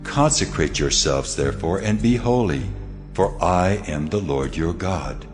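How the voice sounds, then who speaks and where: The booming Lord God. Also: male voice, lively intonation. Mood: stern. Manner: slow, weighty proclamations. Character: God, the almighty Lord, stern and solemn. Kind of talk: dramatized scripture reading. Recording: long hall reverb over music.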